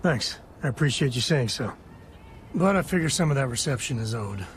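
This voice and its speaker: An actor playing a wry, world-weary space cowboy in a video game, laconic and folksy. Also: male voice, mid-range voice, animated, brisk, slight background noise, lightly acted. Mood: dry, resigned.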